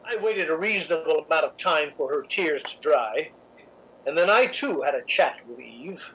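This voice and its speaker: An actor playing a suave, cynical, scheming theatre critic in a radio drama, with an arch, measured, theatrical delivery. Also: male voice, on the high side, animated, fairly bright tone, slightly rough, heavily acted, rough recording. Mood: arch, knowing. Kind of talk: old-time radio drama narration and acting.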